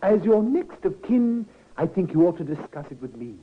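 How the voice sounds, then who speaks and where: Affable, practical cousin for a period radio play, very expressive, tinny hissy sound. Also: male voice, high-pitched, normal speed. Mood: reassuring. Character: breezy, affable male cousin, practical and reassuring. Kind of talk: old-time radio drama acting. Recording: noisy, narrow-band vintage radio recording.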